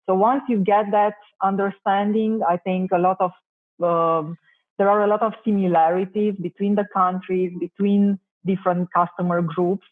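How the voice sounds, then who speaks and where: A woman's voice: mid-range voice, little pitch movement, normal speed. A woman from Romania, a native Romanian speaker, answering interview questions thoughtfully with many hesitations. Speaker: marketing director, guest. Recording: tinny phone-like call audio.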